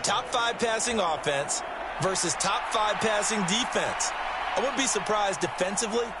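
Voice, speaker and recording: Emphatic American analyst over a noisy crowd. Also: male voice, high-pitched, normal speed. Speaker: color commentator, analyst. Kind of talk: conversational sports analysis commentary. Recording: loud crowd and arena noise behind. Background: loud stadium crowd ambience.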